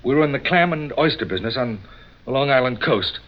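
A man acts a frightened, earnest young man in a vintage radio crime drama. He is agitated and melodramatic, with wide swings in pitch. The sound is dull, as on an old broadcast. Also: expressive, quick pace, natural voice. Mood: earnest, troubled. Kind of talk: 1940s radio drama acting. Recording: muffled old radio recording.

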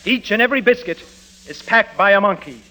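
An actor playing a folksy, gushing soap-opera announcer in a 1940s radio advertising parody. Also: male voice, high-pitched, brisk, sharp tone. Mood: salesman-like. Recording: hissy, crackly old radio recording.

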